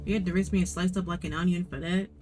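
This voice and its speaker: An actress playing a sarcastic crew member who speaks dryly in a video game. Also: female voice, lowish voice, steady tone, fast talker, close to an everyday voice. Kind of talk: video game cutscene acting. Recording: loud music behind.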